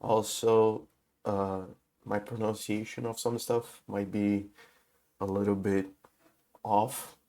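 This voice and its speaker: A man who is sick with a cold, talking through a casual game tutorial in English, his second language, with many pauses and filler sounds. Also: lowish voice, level tone, mellow. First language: not English. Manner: halting, many filler uhs. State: has a cold. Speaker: gaming video host.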